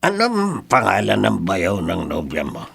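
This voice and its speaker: Mysterious older ritualist, horror radio drama; very gravelly, lively pitch, insistent. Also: male voice, a little slow, exaggerated voice. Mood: insistent, scheming. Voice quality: gravelly, creaky.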